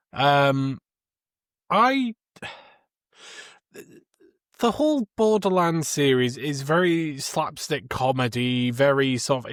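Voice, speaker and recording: A man's voice: medium pitch, no echo, clean studio sound. A man co-hosting a podcast, giving his opinions on films in casual chat. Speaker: podcast co-host. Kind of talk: casual film review chat.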